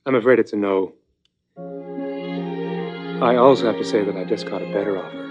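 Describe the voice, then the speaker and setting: An actor playing a young lawyer son in a TV drama, cool and defiant, politely cutting. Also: male voice, on the low side, brisk, a little mellow, lightly acted. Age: young adult. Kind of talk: 1980s primetime TV drama acting. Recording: muffled TV soundtrack, faint music.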